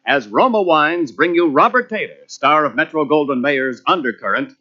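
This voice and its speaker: A man announcing in polished, deliberate 1940s radio style, reading sponsor copy with emphasis. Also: a little high, animated. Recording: dull old radio recording. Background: music.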